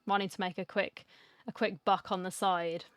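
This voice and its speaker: A woman's voice: medium pitch, level tone, normal speed, a bit bright, clean tone, no room sound. A woman giving casual, musing reaction commentary as she plays a video game into a close microphone. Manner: musing, chatty. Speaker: gaming streamer.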